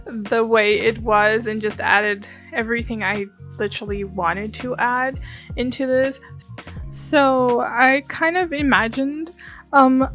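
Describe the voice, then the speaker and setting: Young woman, high voice, slow chatty delivery, breezy and gushing, casual story-time host over music. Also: fairly bright tone.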